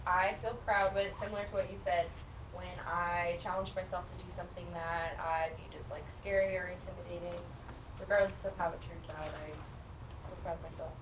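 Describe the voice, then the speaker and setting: A woman's voice: fairly low pitch, little pitch movement, fairly quick. Upbeat woman in her 20s, sounds far from the mic, roomy and noisy. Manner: upbeat and encouraging. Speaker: workshop facilitator. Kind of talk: casual group chat.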